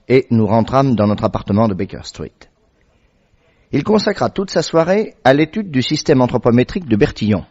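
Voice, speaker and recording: An actor playing a doctor narrating a vintage radio drama in a steady, measured way. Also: male voice, fairly low pitch. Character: measured male doctor narrator, middle-aged. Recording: dull lo-fi old radio recording.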